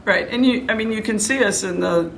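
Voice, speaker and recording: A woman's voice: medium pitch, brisk, vocal fry, slight background noise, rough recording. A middle-aged woman, a head coach, giving frank, self-critical and serious answers in a postgame interview. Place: indoor room before a press backdrop.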